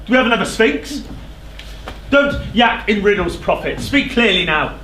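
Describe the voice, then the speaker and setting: A man's voice: high-pitched, expressive, moderate pace, pushed and bright. An actor playing a proud, hot-tempered king in a stage verse drama, declamatory and scornful. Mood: demanding. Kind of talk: theatrical verse stage acting. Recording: echoey theatre stage recording, faint noise.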